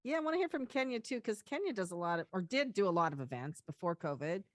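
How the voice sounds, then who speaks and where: A woman co-hosting an interview, lively and steering the conversation between guests. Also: mid-range voice, fast talker, dry recording, clean studio sound. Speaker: co-host. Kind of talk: interview moderating.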